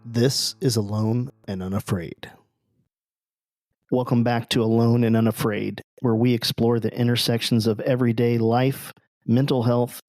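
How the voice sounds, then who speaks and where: A man's voice: mid-range voice, darkish tone, dry recording. A man with an American accent hosting a podcast, moving between introductions and casual interview questions. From southern United States. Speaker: podcast host.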